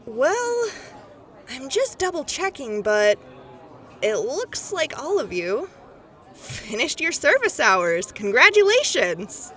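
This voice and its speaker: A woman's voice: sits high, sing-song, slow pace, sharp tone, full character voice. A woman voicing a cheerful, gossipy clerk going over paperwork in an audio drama. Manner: bubbly and gossipy.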